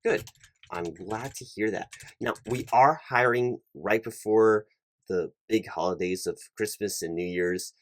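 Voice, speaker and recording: Guy acting as a polite fussy hiring manager for asmr roleplay, lowish voice, halting and formal, really dry close sound. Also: dry recording, lightly acted. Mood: patient, checking. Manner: halting, repetitive, over-careful, formal. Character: polite, fussy, slightly flustered male corporate hiring manager. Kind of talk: one-sided ASMR job interview roleplay.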